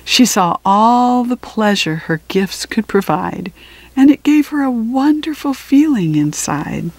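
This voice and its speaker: Female storybook reader, mid-pitched, very unhurried, expressive phrasing with deliberate pauses. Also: poor audio. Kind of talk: read-aloud of a children's picture book.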